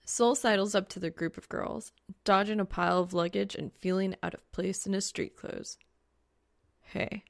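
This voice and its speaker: A woman narrates a story in a creaky, fry-edged voice at a middling pitch. Her reading is wry and expressive, and the sound is dry and close, with no room on it. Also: moderate pace, no room sound. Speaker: audiobook reader of fan fiction.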